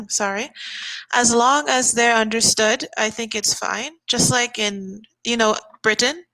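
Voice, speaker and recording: A woman's voice: a little high, slow pace, bright, forceful tone, low-quality recording. A woman from the Bahamas working as an online English tutor, chatting casually and laughing often on a video call.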